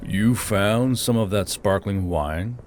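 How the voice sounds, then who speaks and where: A man's voice: on the low side, takes it slowly, darkish tone, soft background music, voice pushed down. Man playing an offhand, easygoing human man. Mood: mild. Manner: muttering, offhand, hesitant. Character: easygoing, casual human man, an office boss named Yul. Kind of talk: audiobook character dialogue.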